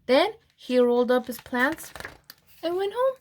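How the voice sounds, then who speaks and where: A woman reading a children's storybook aloud in an expressive storyteller's manner, with deliberate pauses. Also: high-pitched, a little hiss or hum. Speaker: program administrator reading aloud.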